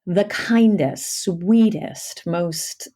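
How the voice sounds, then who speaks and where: A middle-aged woman, a guest and audiobook narrator, chatting casually and animatedly in an interview, telling stories with lively swings and laughs. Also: fairly low pitch, extremely slow.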